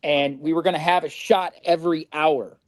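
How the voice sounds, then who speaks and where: A man's voice: on the high side, fairly even intonation, moderate pace, no room sound. A middle-aged man from Indiana, a podcast guest telling his recovery story in a candid, rambling way and swearing freely.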